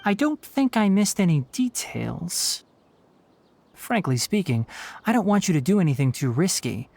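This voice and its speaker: An actor playing a young, earnest and principled scholar in a video game, speaking in a measured, formal, guarded way. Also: male voice, high voice, very animated, vocal fry, a little mellow, heavily acted. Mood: concerned, reflective.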